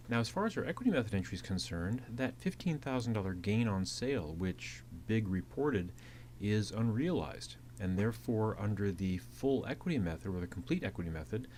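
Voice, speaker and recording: Low-voiced man lecturing, humming noisy recording. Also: a little mellow. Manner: methodical, repeats points. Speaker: accounting instructor. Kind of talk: explanatory lecture narration over slides. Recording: clearly audible hiss and hum.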